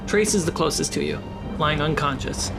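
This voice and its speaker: A man's voice: on the high side, normal speed, gravelly. A man hosting and narrating a tabletop game dramatically as dungeon master. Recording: loud cinematic music behind voice. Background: loud music score.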